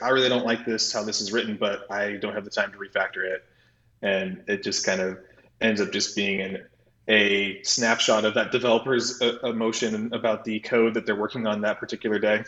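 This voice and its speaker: A man talking casually as a technical podcast guest, halting and often correcting himself as he explains. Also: pushed and bright. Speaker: podcast guest, software developer. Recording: roomy, slightly dull low-fidelity audio.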